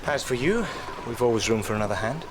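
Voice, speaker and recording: An actor playing a stern, formal Royal Navy captain in a period drama, with a British accent and clipped, authoritative speech. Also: male voice, medium pitch, animated, quick pace, background noise, natural voice. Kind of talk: period television drama acting. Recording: film soundtrack with music underneath.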